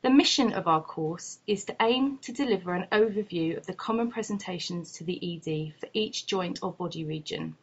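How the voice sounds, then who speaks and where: A woman's voice: fairly low pitch, moderate pace, hardly any pauses, breathy edge. A woman who is a doctor and course lead reads a scripted promotional narration in a formal, articulate way. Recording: muffled lo-fi voice-over.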